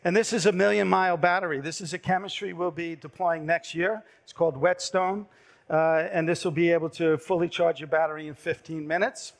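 A middle-aged man pitches his company from a big stage, speaking through a headset mic. His voice sits a little high for a man and is turning hoarse as he goes. He delivers it in a brisk, persuasive sales manner, with the odd filler. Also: fairly even intonation. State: losing his voice. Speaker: startup pitch presenter. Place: large dark conference stage.

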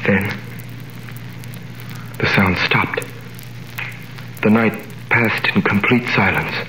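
An earnest wrongly jailed prisoner. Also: male voice, on the low side, steady tone, slow pace, bright, forceful tone, slight background noise. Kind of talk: 1930s radio drama narration and acting. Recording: roomy 1930s radio recording with music.

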